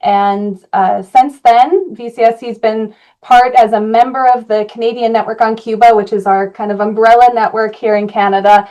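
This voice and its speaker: A woman from Colorado, an activist guest, answering interview questions earnestly, with frequent "uh" pauses. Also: fairly high pitch, fairly even intonation, dark tone, low-quality recording.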